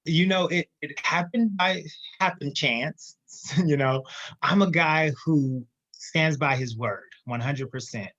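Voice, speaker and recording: A man telling his story as a podcast guest, emphatic and lively. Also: on the high side, takes it slowly, no room sound, highs cut off. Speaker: guest entrepreneur. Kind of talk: casual interview storytelling.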